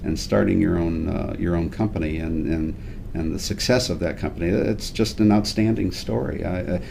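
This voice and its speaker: Genial male host, low mellow voice, talky with many restarts. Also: moderate pace, gravelly, a little hiss or hum. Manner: chatty, repeats and restarts words. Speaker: interview host. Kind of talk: casual interview.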